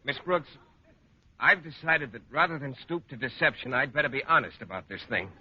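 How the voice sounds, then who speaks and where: A man's voice: mid-range voice, animated, brisk, close to an everyday voice. A man acting a shy, awkward teacher in a 1940s radio comedy, hesitant and stammering. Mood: flustered, apologetic. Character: shy, flustered, awkward adult male science teacher. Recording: dull, faintly noisy 1940s radio recording.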